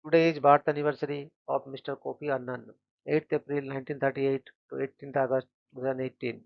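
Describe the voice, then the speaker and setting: Man, monotone, gravelly, dull lo-fi sound, scripted reading, trips over hard names. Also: medium pitch, fast. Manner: flat, steady reading with stumbles on names. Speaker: host of a daily events channel.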